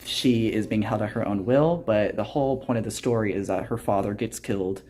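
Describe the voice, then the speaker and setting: A young man in his late teens with a fairly low voice speaks as an interview guest. He is earnest and reflective, explaining things conversationally and softening them with little hedges like "kind of" and "you know". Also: a little fast. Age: teens, high school senior. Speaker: student actor interviewed as a guest.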